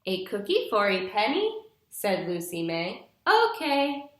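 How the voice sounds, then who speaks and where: Slow, animated young woman reading to children. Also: mid-range voice. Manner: deliberate, instructive, clearly phrased storytelling. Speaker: teacher reading aloud to pupils. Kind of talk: picture-book read-aloud for young children. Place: small living room, indoors. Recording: roomy indoor echo.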